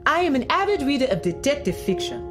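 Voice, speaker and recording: Radio drama part: emphatic woman, slightly high, lively pitch, sure of herself. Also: quick pace, faint music behind. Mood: certain. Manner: emphatic, certain. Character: assured Nigerian woman nurse, avid detective-fiction reader. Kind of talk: audio drama acting.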